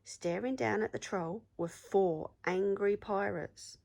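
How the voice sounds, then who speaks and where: A woman teacher reading a story aloud to children in an expressive storytelling style. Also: medium pitch, slow, gravelly.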